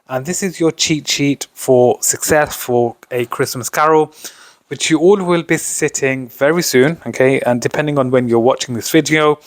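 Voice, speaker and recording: A man's voice: mid-range voice, no room sound. A man giving an explanatory revision lesson as a tutor, speaking instructionally and often posing questions before answering them. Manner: instructional, poses questions then answers them, repeats stock phrases. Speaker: tutor presenting exam revision.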